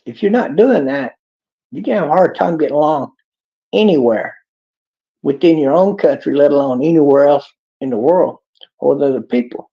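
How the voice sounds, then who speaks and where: A man's voice: fairly high pitch, animated, moderate pace, pauses often. A man with a Texas accent giving a rambling, preachy monologue in a folksy drawl. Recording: dull lo-fi clip.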